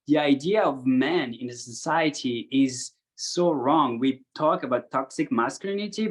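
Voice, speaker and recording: A man from Belgium speaks with steady conviction in a round-table talk. His voice sits at an ordinary middle pitch. He works as a hypnotherapist and lays out his views plainly.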